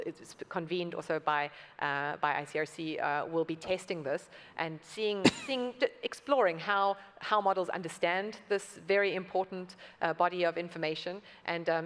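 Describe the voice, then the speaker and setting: A woman's voice: low-pitched, steady tone, normal speed. A woman speaking on a conference panel, earnestly explaining and repeating words for emphasis. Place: conference hall. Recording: long reverb from a large hall.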